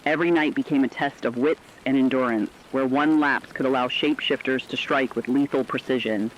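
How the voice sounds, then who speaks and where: A man reading scripted dramatic narration in a serious, deliberate storytelling manner. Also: medium pitch, steady tone, highs cut off, a little hiss or hum.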